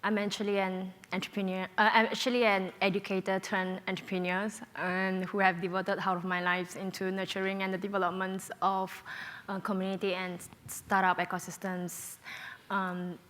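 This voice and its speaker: Lively woman from Brunei. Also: mid-range voice, moderate pace. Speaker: panelist, entrepreneur. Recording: long hall reverb.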